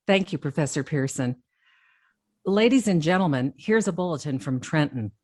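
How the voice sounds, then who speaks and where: A calm, low radio announcer. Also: female voice, moderate pace, no room sound. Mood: formal. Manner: clear, formal announcing. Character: calm female radio announcer reading bulletins. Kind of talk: radio drama acting.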